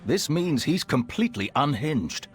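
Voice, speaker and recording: An actor playing an alarmed, haughty regent lord in a video game scene. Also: male voice, fairly high pitch, sing-song, unhurried. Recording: music behind the voice.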